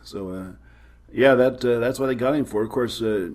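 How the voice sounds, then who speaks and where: A middle-aged man, a guest professor, explaining history in a measured, storytelling way during casual trivia chat. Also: medium pitch, a little mellow.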